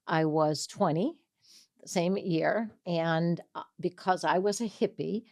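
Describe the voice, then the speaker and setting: A woman's voice: lowish voice, slow pace. Chatty woman in her seventies. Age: 70s. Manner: chatty, animated storyteller, laughs often. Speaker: host, mental health coach. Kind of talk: casual interview chat.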